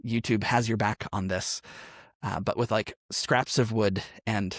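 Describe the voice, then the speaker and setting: A man's voice: on the low side, steady tone, unhurried, dry recording, clean studio sound. A man speaking as a podcast guest in a conversational interview, explaining things in a thoughtful way. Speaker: podcast guest, musician and role-playing game performer.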